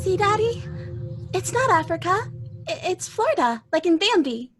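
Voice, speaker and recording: A woman playing a young girl in a live audio drama, with a high, bright childlike chirp. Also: very animated, normal speed, music in the background. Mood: eager.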